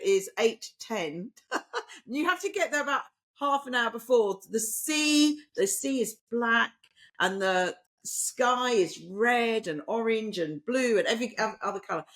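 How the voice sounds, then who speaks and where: A woman's voice: on the high side, expressive, measured pace, fairly bright tone. A woman hosting a podcast in casual conversation, enthusiastic and often repeating words as she gathers her thought. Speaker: podcast host.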